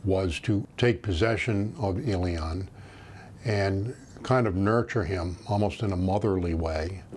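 A man in his sixties, a retired federal agent, recalling events in a formal, measured interview manner. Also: low voice, a little mellow, slight background noise. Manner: formal, measured, official phrasing. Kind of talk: interview recollection. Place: small private office, indoors.

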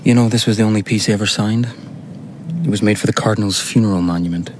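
Stiff, proper young gentleman played by a man. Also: fairly low pitch, slight background noise, natural voice. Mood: reserved. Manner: formal, measured. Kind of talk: radio drama acting.

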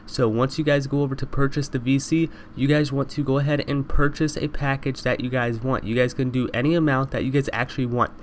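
A young man with a stuffy, congested voice rattles through a gaming voice-over at a rapid clip, calling his viewers "you guys" again and again. His pitch sits in the middle, and there is a faint hiss of noise behind him. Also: little pitch movement. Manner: repetitive, addresses viewers constantly as "you guys". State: congested from allergies. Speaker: gaming YouTuber. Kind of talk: fast voice-over over gameplay.

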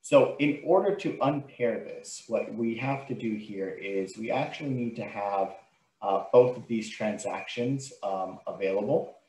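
A man giving an informal, step-by-step software tutorial as a trainer, explaining what he does on screen. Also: lowish voice, unhurried. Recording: roomy, echoing sound.

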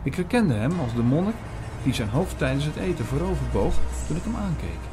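A man playing an earnest priest, narrating an audio drama in a clear storytelling manner. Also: a little high, sing-song, a little fast, music in the background. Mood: earnest, watchful. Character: earnest male priest recounting his investigation.

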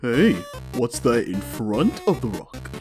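A man voicing a wry, easygoing skeleton big brother in an amateur comic dub, casual and deadpan. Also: mid-range voice, slow pace, over music. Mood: casual, joking.

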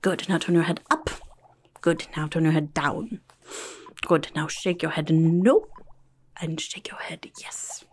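A woman's voice: deep voice, unhurried, long pauses, husky. A young woman voicing a rude, chaotic female goblin nurse in an ASMR roleplay, muttering fussily and repeating her words, close to the microphone. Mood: fussy, instructing. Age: young adult. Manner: fussy, muttering, repeats words, blunt asides. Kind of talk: whispered and soft-spoken ASMR roleplay.

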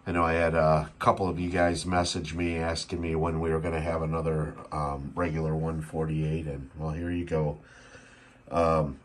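A middle-aged man with a low-pitched voice walks viewers through a piece of gear in a casual seller's demo. He is recorded indoors on a handheld phone, with clear background noise. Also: level tone, normal speed. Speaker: radio seller and restorer demonstrating a CB radio. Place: small indoor room at a workbench.

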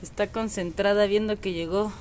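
A woman's voice: mid-range voice, fairly even intonation, normal speed, darkish tone, slight background noise. A woman, chatting to viewers from an outdoor patio on a phone camera. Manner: chatty and affectionate, talks to viewers. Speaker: animal rescue vlogger. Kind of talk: casual narration on camera.

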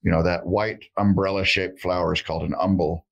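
A middle-aged man with a very low, gravelly and somewhat husky voice, speaking over a video call. He talks as an herbalist guest, folksy and joking, rambling along with plenty of fillers. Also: little pitch movement, a little fast, dull audio. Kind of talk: casual interview talk. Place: indoor room with shelves of jars.

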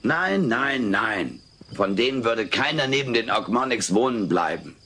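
A cheeky furry alien from a sitcom, bright punchy voice, swoops around, insistent. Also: male voice, lowish voice, sing-song, a little slow, exaggerated voice. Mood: excited, insistent. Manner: insistent, cheeky, comically earnest. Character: wisecracking, excitable furry alien living with a family. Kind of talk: dubbed sitcom acting in an audio drama. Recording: hissy old cassette recording.

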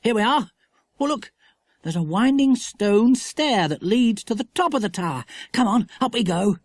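A storyteller voicing Philip, an excited, adventurous schoolboy who talks eagerly in exclamations. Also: mid-range voice, normal speed, no room sound, pitched above the performer's own voice, natural voice. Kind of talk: audiobook character reading.

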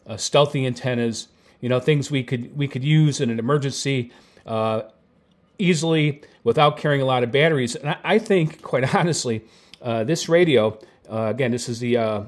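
A middle-aged or older man hosting a hobby video, explaining casually off the cuff in a halting, tentative way with frequent self-corrections. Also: medium pitch. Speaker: video host, radio hobbyist. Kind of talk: casual product talk, unscripted explaining.

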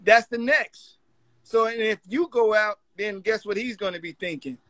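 Middle-aged man, high bright voice, dry sound, emphatic informal motivational talker. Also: normal speed, dry recording. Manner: emphatic, urging. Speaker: guest mentor, magazine editor.

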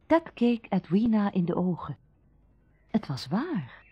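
An actress playing the storyteller of a radio drama, narrating a summer tale. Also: female voice, medium pitch, very animated, slow pace, slightly rough, heavily acted. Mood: calm storytelling. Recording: dull, roomy old radio recording.

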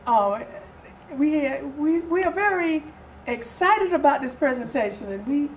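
Older woman, high voice, distant mic. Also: lively intonation, measured pace. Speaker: guest, readers theatre presenter. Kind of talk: interview chat. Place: small furnished living-room style TV set indoors. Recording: distant mic, hissy room noise.

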